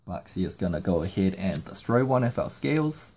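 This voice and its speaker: A man's voice: low-pitched, very animated, fairly quick, dark tone. A man narrating a step-by-step card game tutorial, explaining each move in sequence. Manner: explanatory, walking through moves in sequence. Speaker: trading card game YouTuber.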